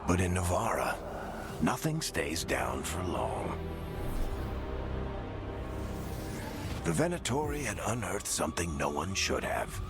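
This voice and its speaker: A man's voice: low voice, full character voice. A man playing a wry, weary older mentor and storyteller in a fantasy game. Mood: ominous storytelling. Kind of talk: dramatic narration and game dialogue.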